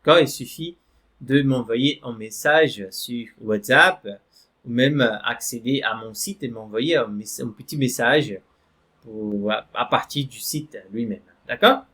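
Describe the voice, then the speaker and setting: Male French teacher, mid-pitched, patient and slow, reads screen text aloud. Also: sharp tone, breathy edge. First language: Portuguese. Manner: slow, repeats "d'accord?" and "voilà" to check in. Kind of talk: online language lesson.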